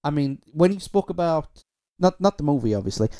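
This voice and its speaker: A man's voice: a little high, animated, a little fast, warm tone. A man hosting a music podcast in a rambling, self-deprecating, chatty way, his audio sounding compressed and dull. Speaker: podcast host. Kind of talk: casual podcast chat.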